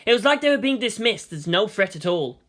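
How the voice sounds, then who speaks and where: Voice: mid-range voice, sing-song, a little fast, fairly bright tone. A narrator reading a story aloud in a dramatic, scripted audiobook style.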